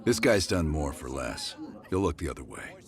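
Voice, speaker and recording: An actor playing a cool, gruff middle-aged American operative in a video game cutscene, clipped and commanding. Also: male voice, a bass voice, very animated, normal speed, crowd noise. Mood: cool, dry.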